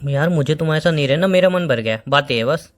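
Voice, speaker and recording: A man's voice: a little high, animated, fast, close to an everyday voice. A man playing a blunt, dismissive young boyfriend who is breaking up in a staged phone-call drama. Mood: irritated, blunt. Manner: blunt, repetitive, impatient.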